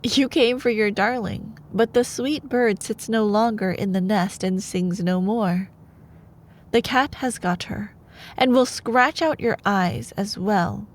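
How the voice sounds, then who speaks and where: A woman's voice: mid-range voice, slow, lightly acted. A woman voicing a wicked, spiteful witch from a storybook, with a mocking, theatrical delivery. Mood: gloating, mocking.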